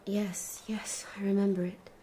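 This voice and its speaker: A fragile, clouded young Victorian gentlewoman, played by an actress. Her soft, breathy voice is dull-toned and nearly monotone, hesitant and subdued, with faint ambience behind it in an audio drama. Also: mid-range voice, a little slow, slight background noise, close to an everyday voice. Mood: dazed, timid.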